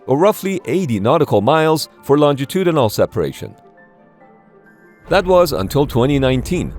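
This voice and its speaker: Higher-pitched male explainer narrator over music. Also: a little mellow. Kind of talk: scripted documentary-style narration. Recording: background music under voice.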